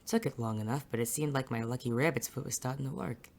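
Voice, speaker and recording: A man's voice: medium pitch, quick pace, vocal fry, a little mellow. A man playing a bitter, world-weary private detective, narrating in wry first-person noir style. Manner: wry, brooding. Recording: faint music underneath.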